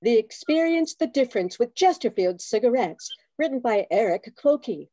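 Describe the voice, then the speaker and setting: A woman's voice: on the high side, animated, normal speed, warm tone, no room sound, rough recording. A woman playing a dramatic, salesy cigarette announcer with a bitter streak in an old-time radio commercial. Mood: dramatic sales pitch. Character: smooth cigarette ad announcer woman, wistfully bitter about exes. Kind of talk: 1940s radio commercial acting.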